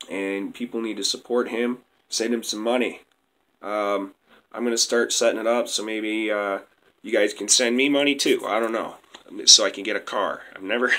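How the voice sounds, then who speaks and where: Young male vlogger, lowish pitch and a bright tone, rambling heated home monologue on a webcam. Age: young adult. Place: small indoor room at home. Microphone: webcam close up.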